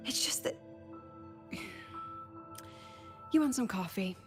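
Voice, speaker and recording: A woman's voice: mid-range voice, expressive. Weary, wry woman academy president. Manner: sighing, wry, conversational with coy pauses. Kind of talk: video game voice acting. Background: background music.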